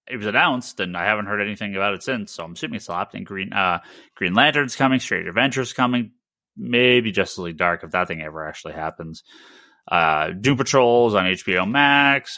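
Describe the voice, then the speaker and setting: A man's voice: on the low side, brisk, pushed and bright, no room sound, clean studio sound. A man hosting a casual, informal discussion of comics news. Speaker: podcast host.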